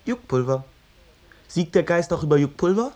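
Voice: mid-range voice, lively intonation, normal speed, warm tone, lightly acted. An actor playing a cheeky, taunting young boy in a radio play, in a sing-song manner. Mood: taunting glee. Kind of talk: German radio play dialogue.

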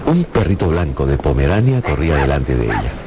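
Frivolous middle-aged ladies' man, deep voice, confessional radio drama delivery, faint background ambience. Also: big pitch swings, brisk, background noise, heavily acted. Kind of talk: radio drama acting, first-person recollection.

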